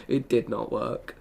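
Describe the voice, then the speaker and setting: A man's voice: mid-range voice, vocal fry. A young man chatting casually as he streams a game, telling rambling stories and often interrupting himself. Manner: rambling, animated storytelling with self-interruptions. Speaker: game livestreamer. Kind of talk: casual livestream chat over gameplay.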